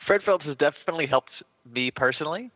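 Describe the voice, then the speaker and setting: Man, podcast co-host, somewhat high voice, laid-back, dry dull recording. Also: lively intonation, fast, slight background noise. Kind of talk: casual comedic chat.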